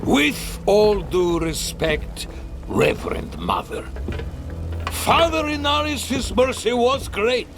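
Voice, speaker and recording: Gravelly, high-pitched zealot holy knight. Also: male voice, exaggerated voice. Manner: theatrical, declamatory, righteous. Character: zealous male holy knight, vengeful accuser and preacher. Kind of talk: cinematic fantasy game acting. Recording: cinematic music behind.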